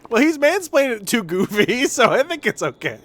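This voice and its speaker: A man's voice: very high voice, sing-song, moderate pace, fairly bright tone. A man doing casual, rambling livestream commentary while playing a game, sardonic and prone to swearing.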